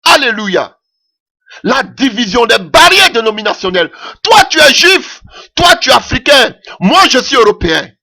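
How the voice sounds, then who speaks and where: A man's voice: extremely high pitch, sing-song, moderate pace, vocal fry, bright, forceful tone. A man preaching a sermon in French that is not his mother tongue, impassioned and punctuating his points with "Alléluia".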